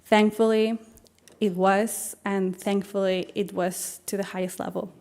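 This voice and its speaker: Young woman with a Mexican accent, mellow tone, formal tribute speech, measured delivery with um and uh between phrases. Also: mid-range voice, level tone. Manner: measured, with frequent um and uh fillers. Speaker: scholarship recipient giving a speech.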